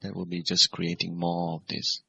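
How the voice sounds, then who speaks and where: Man, meditation teacher, very bright edgy tone, creaky fry, measured instructional phrasing, slightly lo-fi sound. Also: low voice, monotone. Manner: measured, simple phrasing with gentle questions. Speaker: Buddhist monk and meditation teacher. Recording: dull, lo-fi recording.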